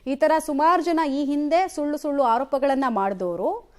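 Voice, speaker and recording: A woman's voice: high-pitched, moderate pace. A woman with a Karnataka accent anchoring live news, speaking emphatically and putting questions to reporters.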